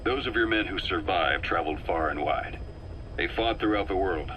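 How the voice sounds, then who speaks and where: Hard-edged, low-voiced mercenary officer. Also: male voice, normal speed, sharp tone, slight background noise, poor audio. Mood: grave, pointed. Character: hardened male mercenary officer briefing his commander, wry, intense. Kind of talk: scripted video game briefing narration.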